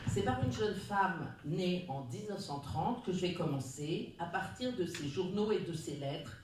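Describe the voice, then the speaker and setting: Round-table speaker, measured formal reading, far from the mic, booming room. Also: darkish tone, a little hiss or hum. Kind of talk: reading a prepared talk. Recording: very echoey room, distant mic.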